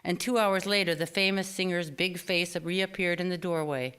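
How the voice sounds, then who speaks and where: A woman reads a personal memoir aloud on stage. Her voice sits a little low and is very smooth and clear. She tells it expressively, shaping and stretching phrases with deliberate care. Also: steady tone, a bit bright. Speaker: author reading own personal essay. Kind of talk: scripted memoir reading at a live storytelling event. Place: small theater stage, live reading before an audience.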